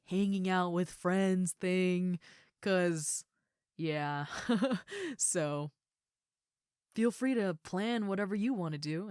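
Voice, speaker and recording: Audio roleplay: actress as gentle female ogre roommate. Lowish pitch, dry close sound, soft, shy, reassuring, casual delivery. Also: clean tone, dry recording. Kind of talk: intimate comfort audio roleplay, addressed to the listener.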